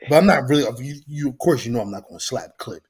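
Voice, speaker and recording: A man's voice: mid-range voice, animated, fast talker, darkish tone. Blunt, slangy man over a noisy mic. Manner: blunt, profane street slang, storytelling. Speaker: podcast guest. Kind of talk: casual podcast chat. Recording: noisy background hiss.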